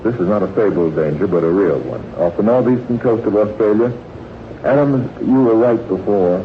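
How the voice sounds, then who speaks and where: A man with a low voice hosts a quiz on 1940s radio, reading out questions and ribbing the contestants. The sound is thin and narrow, with a light layer of old broadcast noise. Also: animated, normal speed. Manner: bantering, teasing. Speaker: quiz show host.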